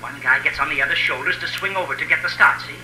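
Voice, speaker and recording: Sharp-voiced treacherous tough guy, old radio. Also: on the high side, quick pace. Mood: wheedling. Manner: slangy tough-guy talk. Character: cocky, scheming, treacherous tough-guy adventurer, wheedling and mocking. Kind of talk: 1950s radio acting. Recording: echoey, noisy old radio recording.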